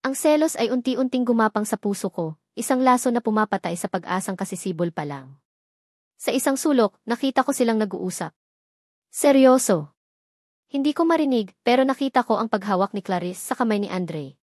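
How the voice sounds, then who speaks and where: A woman's voice: a little high, lively intonation, brisk, darkish tone, dry recording, clean studio sound. A woman with a Filipino accent reading a scripted first-person romance story as a storyteller.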